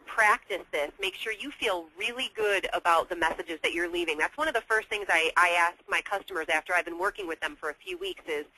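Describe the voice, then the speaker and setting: A woman's voice: lowish voice, bright, forceful tone, husky, low-quality recording. An American woman co-presenting sales advice conversationally, in a wordy way, often circling back to rephrase.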